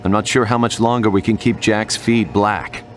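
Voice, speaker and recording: An actor playing a businesslike, deferential technician underling in a video game, speaking matter-of-factly. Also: male voice, steady tone, moderate pace, a bit bright, natural voice. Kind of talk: video game cutscene acting. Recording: rumbling noise behind the voice.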